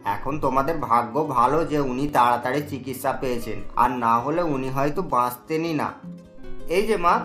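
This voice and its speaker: A man's voice: a little high, a bit bright, close to an everyday voice. A man voicing sensible grown male characters in a cartoon family tale. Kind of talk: cartoon dialogue acting. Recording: roomy echo, music behind.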